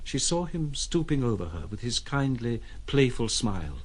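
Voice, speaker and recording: A man giving scripted literary narration for an audio drama, with a husky voice. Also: medium pitch, very animated, moderate pace.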